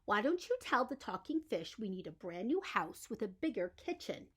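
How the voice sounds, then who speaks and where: A woman's voice: medium pitch, sing-song, moderate pace, husky. A woman voicing an eager fisherman's wife who always wants more, emphatic and demanding, in a bedtime story. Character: eager, ever-wanting fisherman's wife in a fairy tale.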